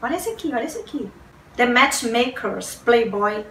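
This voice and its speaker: Woman in her 40s, vlog host; high, bright, excitable; roomy, slightly distant room sound with faint hiss. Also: moderate pace, faint music behind. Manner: gushing, exclaims and repeats words. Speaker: book vlogger. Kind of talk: casual chat to camera. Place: small decorated room indoors.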